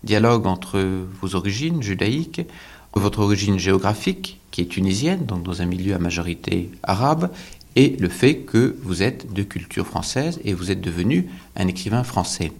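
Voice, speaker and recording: A man interviewing a writer on the radio, asking long, carefully phrased questions in a measured way. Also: low voice, big pitch swings, gravelly, a little mellow. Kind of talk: formal archival radio interview.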